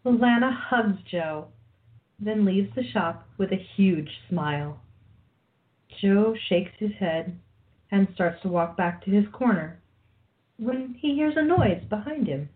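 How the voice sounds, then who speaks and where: A woman's voice: mid-range voice, animated, slow. Tinny-sounding woman narrator. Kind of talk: scripted radio play narration reading stage directions. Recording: tinny phone-like audio.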